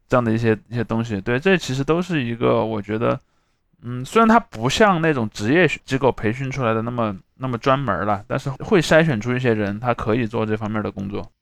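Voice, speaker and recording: A man's voice: mid-range voice, fast, vocal fry, no echo, studio quality. A man hosting a podcast, explaining his opinions in casual conversation. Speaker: podcast host. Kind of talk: casual panel chat.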